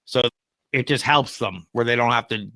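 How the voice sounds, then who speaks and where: A man's voice: medium pitch, normal speed, sharp tone, no echo. A man co-hosting a podcast, giving opinions in casual conversation and explaining them with everyday examples. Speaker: podcast co-host and AI consultant.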